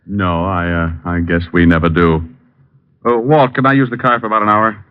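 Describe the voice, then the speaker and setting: A man's voice: low-pitched, flat delivery, normal speed, clean tone, natural voice. An actor playing a dry, wisecracking male private detective in a 1950s radio drama, narrating his own case. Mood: wry. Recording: dull lo-fi old radio broadcast.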